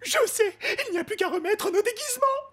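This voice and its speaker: A woman's voice: very high-pitched, expressive, fairly quick, no room sound. A woman voicing a good-natured, greedy and naive male wild boar in a French cartoon. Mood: content. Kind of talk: French cartoon dub acting. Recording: loud music covering the voice.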